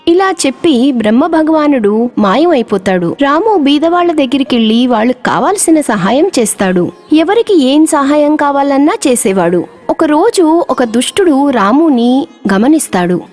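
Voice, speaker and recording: Brief: female narrator for kids' moral tales, high-pitched and very animated. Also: moderate pace, faint music behind. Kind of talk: scripted children's story narration.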